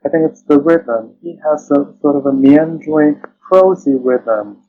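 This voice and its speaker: A man's voice: on the high side, unhurried, phone-quality sound, slight background noise. A man speaking as an interview guest and translator, thoughtful and hesitant, often rephrasing as he reflects.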